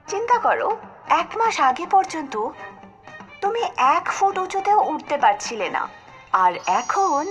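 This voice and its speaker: Lively, high-voiced cartoon mother, voiced by a woman. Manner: gentle and reassuring, lively storybook telling. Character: patient, encouraging mother, to children and as a mother sparrow. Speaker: storyteller. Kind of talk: cartoon dubbing and storybook narration. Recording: background music under the voice.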